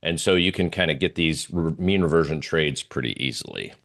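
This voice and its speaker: A man's voice: a bass voice, animated, a little fast, creaky, fairly bright tone. A man giving a casual, hesitant presentation as a guest trader, thinking aloud as he talks through charts. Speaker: interview guest, oil trader.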